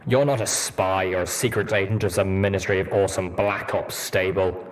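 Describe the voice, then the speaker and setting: A gruff, low-pitched soldier stallion performed by a man from a post-apocalyptic pony audiobook. He is stoic and formal, choosing his words in a measured, blunt manner. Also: fairly even intonation, lightly acted. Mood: blunt, assessing.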